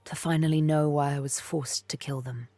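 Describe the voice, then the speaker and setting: A woman's voice: sits low, fairly even intonation, normal speed, warm tone, no room sound, faint music behind. A woman playing a cool, sardonic, vengeful elf assassin in a fantasy game, poised, wry and intimate. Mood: wry, relieved. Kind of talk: fantasy video game acting.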